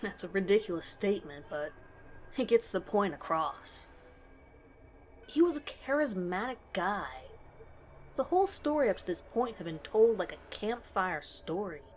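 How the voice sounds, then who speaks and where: A woman's voice: medium pitch, big pitch swings, a little slow, a little hiss or hum. A woman reading a scripted horror story as its narrator.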